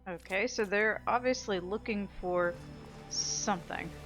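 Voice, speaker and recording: A woman's voice: medium pitch, a little slow, a bit bright, faint music behind. A woman giving casual video game commentary, thinking aloud as she plays.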